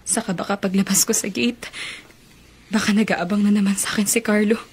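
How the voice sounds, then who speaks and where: A woman's voice: mid-range voice, steady tone, fairly quick, a little mellow, slight background noise, natural voice. A woman acting in a Filipino radio romance drama as a heartbroken, indignant young college student. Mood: sad, withdrawn. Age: young adult.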